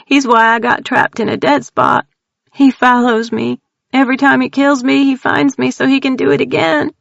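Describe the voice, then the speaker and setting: A woman voicing a frightened, tearful young woman with an East Texas accent, talking in a high-strung, rapid way. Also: level tone, vocal fry, a bit bright, no echo, full character voice. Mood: frantic. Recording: dull dry audio.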